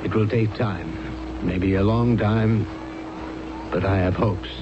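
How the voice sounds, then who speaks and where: A solemn, reassuring man of medicine in an old radio drama. He speaks very slowly in a slightly low voice, weighing each phrase. The sound is dull. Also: fairly even intonation, noisy background. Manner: deliberate, solemn. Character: measured, reassuring male doctor. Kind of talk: 1970s radio drama acting.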